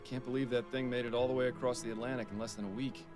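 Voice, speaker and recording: A man's voice: medium pitch, flat delivery, racing, music in the background, natural voice. A man playing a dry, businesslike police detective in a voiced adventure game, asking things politely and to the point. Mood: dry, focused.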